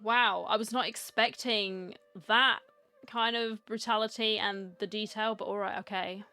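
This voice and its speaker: A woman's voice: medium pitch, steady tone, measured pace, bright, forceful tone, clear, resonant tone. A woman giving casual, wry commentary and reactions as she plays through a game. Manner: chatty, wry. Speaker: game streamer. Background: game music.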